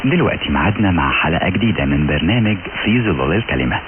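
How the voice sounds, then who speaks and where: A man's voice: sits low, animated, rapid-fire, background noise. A man with an Egyptian Arabic accent introducing a radio program as its host. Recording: muffled shortwave radio with loud music. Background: loud music.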